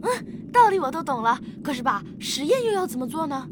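Woman playing a high-pitched, curious spaceship kid. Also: a little fast, over music. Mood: questioning, eager. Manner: inquisitive, expressive exclamations. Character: curious, questioning young child on a spaceship, emotionally volatile. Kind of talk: Chinese science fiction radio drama acting.

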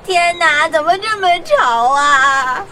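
Squeaky, timid little country mouse. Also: female voice, expressive, takes it slowly, pushed and bright, slight background noise, full character voice. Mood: alarmed. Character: Pipi, timid homesick young country mouse. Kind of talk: children's audio drama acting.

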